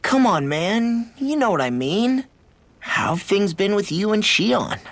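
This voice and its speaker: A brash, animated teenage boy fighter. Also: high-pitched, takes it slowly, dry recording, heavily acted. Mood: prodding. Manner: informal, pushy but earnest. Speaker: voice actor in a fantasy video game. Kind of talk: video game cutscene dialogue.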